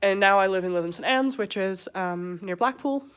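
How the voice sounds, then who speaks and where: A woman's voice: medium pitch, fairly bright tone, clean tone. Hesitant woman with an American accent. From England. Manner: hesitant, halting, many pauses and fillers. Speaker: vlogger. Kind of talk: personal confessional talk.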